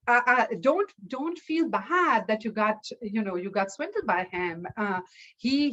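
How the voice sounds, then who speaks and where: A woman's voice: fairly high pitch, fairly bright tone, lo-fi sound. Woman telling as a kind, reassuring young man. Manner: reassuring, instructing. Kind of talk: oral folktale telling.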